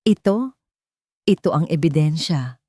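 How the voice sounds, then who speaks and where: A woman's voice: low voice, lively intonation, a little slow, dark tone, no room sound, clean studio sound. A woman voicing a grieving, frightened old man confessing what he witnessed in an audio drama story. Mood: uneasy, urgent.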